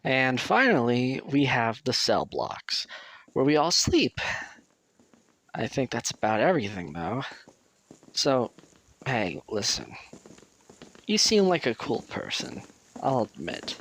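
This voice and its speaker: A man's voice: mid-range voice, big pitch swings, slow, pauses often, a bit bright, heavily acted, poor audio. A man acting as a nervous, friendly young asylum patient in an audio roleplay, talking in a hesitant, confiding way. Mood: relieved, confiding.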